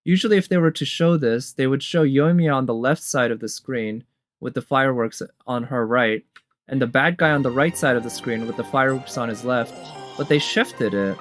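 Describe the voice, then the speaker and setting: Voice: mid-range voice, normal speed. Casual game reviewer musing over music. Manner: conversational, musing, thinking aloud. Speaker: reviewer reacting to game trailers. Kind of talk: casual reaction commentary. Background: game trailer music.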